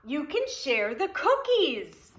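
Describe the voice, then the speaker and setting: A hospitable picture-book mother, as a woman reader plays her. Her voice is high and very bright, with an inviting sing-song delivery made for small children. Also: very animated, slow pace, echoey room, distant mic, heavily acted. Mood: welcoming, cheery.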